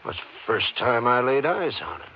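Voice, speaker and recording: An actor playing a cooperative rancher witness in a 1950s radio drama, speaking plainly, hesitantly and respectfully. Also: male voice, medium pitch, sing-song, moderate pace, a little hiss or hum, close to an everyday voice. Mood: earnest. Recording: muffled old radio recording.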